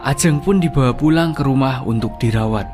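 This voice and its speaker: A man's voice: mid-range voice, a little fast, over music. A man narrating a scripted drama story as its narrator.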